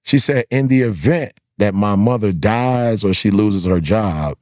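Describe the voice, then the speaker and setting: A man telling a story in an animated, casual way, slangy and emphatic, often punctuating with bruh and bro. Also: lowish voice, a little slow, no room sound, clean studio sound. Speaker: podcast host.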